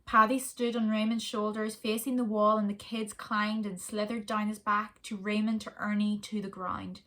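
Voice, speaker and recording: A woman with an Irish accent, a volunteer reader, reading a children's story aloud in a steady, expressive way. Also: mid-range voice, moderate pace.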